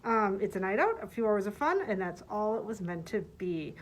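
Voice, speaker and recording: A woman's voice: mid-range voice, animated, brisk, a little hiss or hum. A woman librarian recommending books, partly reading their summaries aloud. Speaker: librarian presenting book picks.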